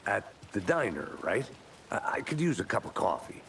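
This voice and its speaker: An actor playing a gruff, world-weary FBI agent in a video game, with a dry, grumbling, laconic delivery. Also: male voice, lowish voice, sing-song, normal speed, fairly bright tone, heavily acted. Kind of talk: cinematic video game acting. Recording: distant mic, faint ambient noise.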